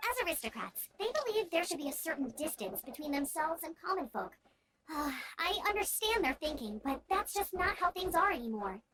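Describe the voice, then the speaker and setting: A woman's voice: high voice, sing-song, a little fast, crowd noise, low-quality recording. A woman playing a perky, encouraging young scout knight in a video game, speaking in an upbeat, eager, friendly way. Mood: friendly explaining. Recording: loud game music behind.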